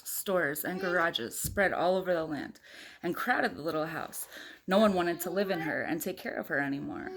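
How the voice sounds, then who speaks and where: A woman with a slightly low voice reads a children's picture book in a casual, homely way, as a mother to small children. Her delivery is informal and chatty, open to little asides and questions. There is light noise in the home recording. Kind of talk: casual read-aloud storytime with chatty asides.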